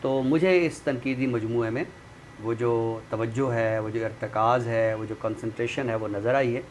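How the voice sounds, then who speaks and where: Male literary commentator, mid-pitched, formal and measured delivery, some background noise on the recording. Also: steady tone. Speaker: literary commentator and guest expert. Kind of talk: literary talk and book review with some poetry recital. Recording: clearly noisy background.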